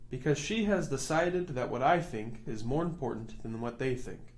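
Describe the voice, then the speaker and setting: Man playing a gentle, fatherly woodcarver. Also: mid-range voice, close to an everyday voice. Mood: tender. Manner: gentle, slow, reassuring. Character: kindly, fatherly old woodcarver, large bearded male maker. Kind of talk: children's storybook reading.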